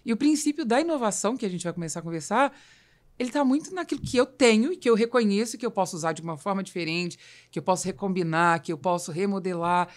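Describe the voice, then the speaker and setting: A Brazilian woman speaks as a podcast host in a conversational style. She moves along at a fast pace with a medium-pitched voice, explaining ideas as she goes. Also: expressive. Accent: Brazilian Portuguese. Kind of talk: conversational business podcast discussion.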